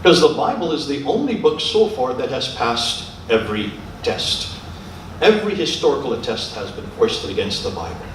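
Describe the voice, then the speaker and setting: A man's voice: mid-range voice, sing-song, normal speed. Guy giving a debate lecture, pushy and assertive, really echoey room with a bit of noise. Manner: assertive, pressing with repeated questions. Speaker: lecturer and debater taking audience questions. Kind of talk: public religious debate lecture. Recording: very echoey hall, distant mic, faint noise.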